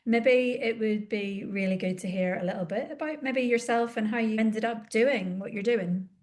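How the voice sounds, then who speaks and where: A woman's voice: a little high, moderate pace, a little mellow. A woman hosting a podcast for therapists, asking the guest long, encouraging questions. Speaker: podcast host. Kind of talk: conversational podcast interview.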